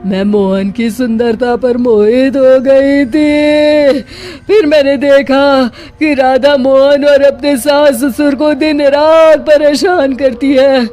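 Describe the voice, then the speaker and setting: A mellow, fairly high witch from a moral-story cartoon, played by a woman, drawing out each tearful plea. She sounds like a spirit caught and begging, with music running underneath. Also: fairly even intonation, slow. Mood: pleading, confessing. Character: pleading female witch possessing a young wife. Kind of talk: Hindi cartoon story acting. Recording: music bed behind the voice.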